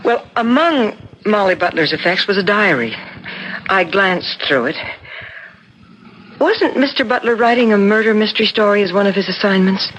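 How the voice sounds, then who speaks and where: A woman's voice: mid-range voice, animated, normal speed, fairly bright tone, natural voice. An actress playing a determined, probing married woman in a 1970s radio drama. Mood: purposeful, confiding. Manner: insistent, probing. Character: determined married woman, doubting her best friend's suicide. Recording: noisy vintage radio broadcast.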